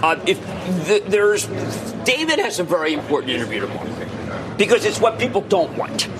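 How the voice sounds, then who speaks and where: Excitable male market pundit, high lively voice, live from a noisy exchange floor. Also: over music. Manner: excitable, emphatic, interrupts with quick asides. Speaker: market commentator. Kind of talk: live financial TV banter.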